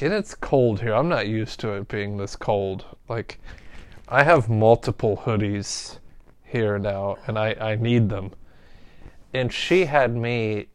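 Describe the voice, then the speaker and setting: A man's voice: fairly low pitch, darkish tone, clean tone, dry recording. A middle-aged man co-hosting a casual podcast chat, easygoing and agreeable, telling stories in a loose rambling way. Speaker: podcast co-host.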